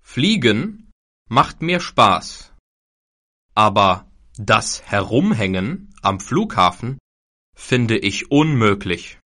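A man's voice: on the low side, sing-song, drawn-out words, sharp tone. A man from Germany speaking friendly, carefully enunciated scripted lines for a language exam recording. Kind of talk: slow scripted exam dialogue.

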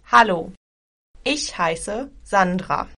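A woman from Germany slowly reading a short scripted self-introduction. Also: medium pitch, animated, a bit bright. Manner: slow, careful reading. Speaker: exam recording speaker.

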